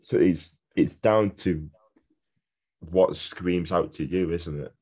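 A man's voice: low-pitched, slow pace, darkish tone, slightly rough. A man with a British accent hosting a casual podcast interview, chatty and enthusiastic. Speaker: podcast host. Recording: dull, lo-fi sound.

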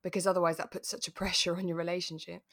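A woman co-hosting a relaxed discussion podcast, retelling things expressively in a conversational way. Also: on the low side, rapid-fire. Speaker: podcast co-host. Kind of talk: relaxed chat reviewing a radio drama.

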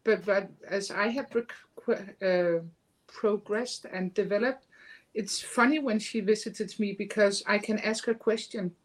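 A woman sharing a personal account in conversation as a guest, haltingly, searching for her words. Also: medium pitch, rough recording. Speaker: guest, medium.

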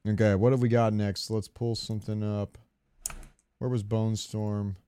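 A man's voice: fairly low pitch, level tone, a little slow, dark tone, smooth. A man co-hosting a podcast in casual, informal chat, swearing freely. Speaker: podcast co-host.

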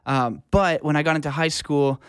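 A man's voice: on the high side, steady tone, normal speed, clear, resonant tone, dry recording, clean studio sound. A young adult man telling his story as a guest in a casual, informal conversational style. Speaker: interview guest, audio engineer.

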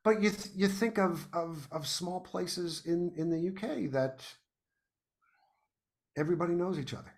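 An American man chatting as an interview guest, thoughtful and halting, often repeating words as he goes. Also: high-pitched, a little mellow. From United States. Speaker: guest playwright and podcast host. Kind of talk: casual interview chat.

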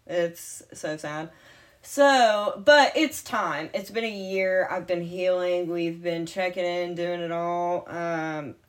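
A woman in her late twenties with a slight lisp. She speaks in a somewhat low voice, animated and jokey, swinging between loud and quiet in casual podcast chat. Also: slow pace. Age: late 20s. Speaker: podcast co-host.

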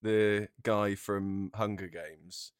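Guy, bit low, dry sound, blokey sweary podcast banter about films. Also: fairly even intonation, very slow, clear, resonant tone, dry recording. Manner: blokey, sweary, mock-incredulous. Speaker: podcast host. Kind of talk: casual film chat.

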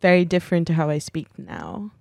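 A woman from Pakistan, a podcast guest telling stories in casual chat with quick, dark humour. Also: deep voice, very animated, vocal fry, no room sound, clean studio sound.

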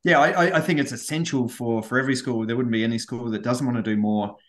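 An Australian man, a guest on a podcast interview, chatty and quick to repeat words as he gets going. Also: medium pitch. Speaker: guest, head of sustainability.

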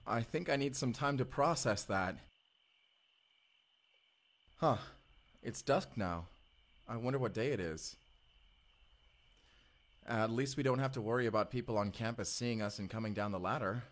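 A man's voice: medium pitch, moderate pace, pauses often, close to an everyday voice. A man playing an earnest, affectionate young male time traveler, speaking to the listener in an audio roleplay. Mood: wistful. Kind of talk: first-person audio drama dialogue, spoken to the listener.

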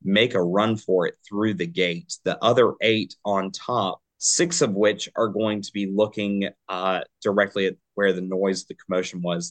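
A man narrating a tabletop game as game master, calling for rolls, in a relaxed, descriptive manner. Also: deep voice.

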